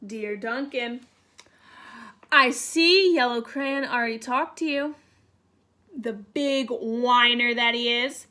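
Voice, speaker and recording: Storybook crayon, male, scornful and insistent, high-pitched delivery, acted by a woman. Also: slow pace, long pauses, pushed and bright. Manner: snide, insistent. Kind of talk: children's storybook read-aloud.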